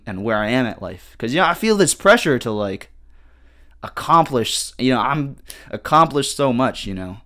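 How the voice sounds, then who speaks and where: A man's voice: fairly low pitch, a bit bright. A man in his twenties hosting a casual solo podcast, rambling with slang, lots of swearing and restarts. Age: 20s. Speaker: podcast host.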